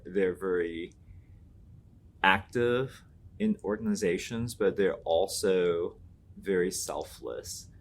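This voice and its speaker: A man from Taiwan whose first language is Mandarin, a Latin teacher giving earnest, reflective interview answers, thinking aloud with fillers and restarts. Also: low-pitched.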